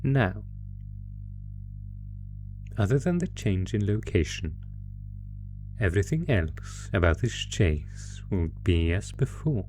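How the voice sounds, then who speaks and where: A man voicing a sinister, sadistic monster from under the bed in a horror roleplay, taunting his prey in a deliberate, mock-genteel, theatrical way. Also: on the low side, big pitch swings, a little mellow. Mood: eager, predatory. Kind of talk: horror roleplay audio, slow theatrical menace. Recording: music under the voice. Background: music.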